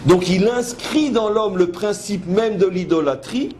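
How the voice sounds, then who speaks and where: Male rabbi lecturer, fairly high voice, emphatic teacher's delivery with rhetorical questions, live class recording with light background noise. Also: unhurried, a little mellow. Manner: switches between French and quoted Hebrew verses. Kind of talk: religious lecture with commentary on scripture.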